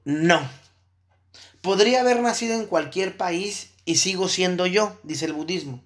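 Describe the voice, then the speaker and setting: A man's voice: high voice, measured pace, fairly bright tone. A man giving an explanatory lecture on Buddhist ideas, often checking in with his listeners and thinking aloud as he goes. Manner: checks in with listeners with frequent tag questions.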